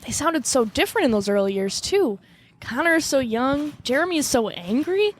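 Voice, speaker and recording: A woman's voice: high voice, big pitch swings, normal speed, a little hiss or hum. A woman playing a blunt but naive and eager investigator in a comedic radio drama, speaking with earnest emphasis. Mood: naive wonder.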